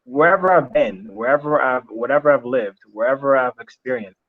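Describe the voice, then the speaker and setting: Young man, halting and reflective, dull audio. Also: mid-range voice. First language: Italian. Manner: reflective, halting, many restarts and fillers. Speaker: interview guest. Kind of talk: casual interview chat. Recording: slightly dull lo-fi sound.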